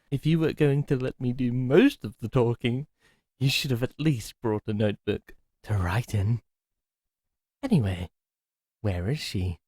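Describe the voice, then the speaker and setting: A man acting in an audio roleplay as a playful, sinister manor servant, talking slowly and theatrically. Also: mid-range voice, a little mellow, husky, no room sound, clean studio sound. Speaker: servant called Happiness greeting a captive.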